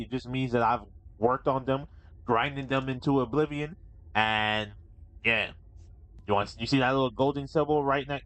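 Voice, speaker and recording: A man's voice: mid-range voice, fairly bright tone, no echo, poor audio. A man casually commentating his own gameplay, laid-back and swearing often. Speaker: gameplay streamer.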